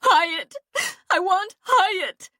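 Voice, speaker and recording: Woman voicing a shrill weeping mistress. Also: animated, measured pace, a bit bright, no echo, studio quality, exaggerated voice. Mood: frantic. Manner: wailing, shrill, demanding. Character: shrill tearful scheming young mistress.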